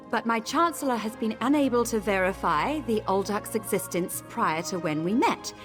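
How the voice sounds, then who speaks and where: An actress playing a proud, indignant female elf noblewoman in a fantasy video game, who speaks imperiously. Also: medium pitch. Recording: game audio with faint music.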